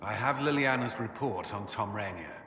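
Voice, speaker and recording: An actor playing a serious military adviser in a video game, measured and matter-of-fact, in an echoey hall. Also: male voice, on the low side, lightly acted. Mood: serious, informative. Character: serious military adviser reporting to his leader. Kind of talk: dramatic video game acting. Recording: echoey hall, long reverb, faint noise.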